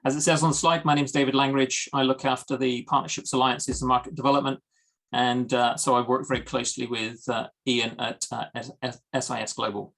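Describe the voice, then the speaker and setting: British man presenting over a video call. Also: medium pitch. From United Kingdom. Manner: steady, with many ums and uhs. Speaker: guest presenter. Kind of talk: webinar product presentation.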